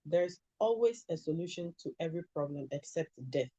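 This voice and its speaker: A Ghanaian woman with a Ghanaian accent, an immigration lawyer answering interview questions in a measured, advisory way. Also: fairly low pitch, lively intonation, warm tone, no echo. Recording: dull lo-fi sound.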